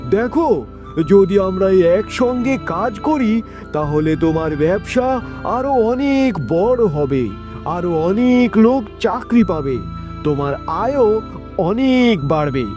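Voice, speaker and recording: A man playing a persuasive city businessman in a moral-story cartoon, deliberate in manner. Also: high-pitched, lively intonation, music in the background.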